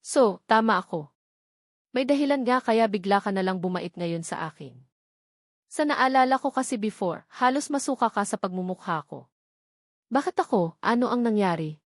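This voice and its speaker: A woman's voice: mid-range voice, animated, normal speed, no echo, close to an everyday voice. Romance audiobook heroine, read by a woman: proud, sarcastic, challenging, dry recording. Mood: teasing. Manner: challenging, dismissive. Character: proud, sarcastic young woman, sceptical former admirer.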